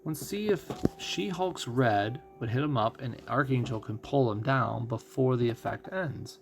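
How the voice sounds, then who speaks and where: A man giving casual live game commentary as a streamer, musing and thinking out loud as he goes. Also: mid-range voice, unhurried, vocal fry, faint music behind. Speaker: gaming streamer.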